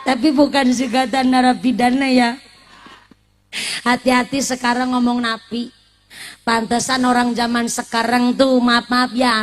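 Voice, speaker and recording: A woman in her late twenties giving a humorous sermon, teasing the congregation with questions and mixing in regional words. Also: fairly high pitch. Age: late 20s. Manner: lively, teasing, asks the audience questions, mixes in Javanese and Sundanese words. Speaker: preacher.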